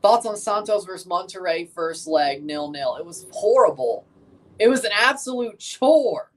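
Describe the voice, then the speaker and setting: A woman's voice: lowish voice, lively intonation, moderate pace, rough recording. A woman hosting a livestream watchalong, giving casual live football commentary and chatting with viewers, often repeating and correcting herself.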